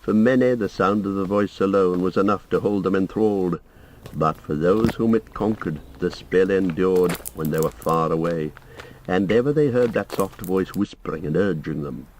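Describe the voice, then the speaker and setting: A man reading a literary audiobook in a measured storytelling style. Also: low-pitched, a little mellow. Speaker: audiobook narrator. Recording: noisy audiobook playback.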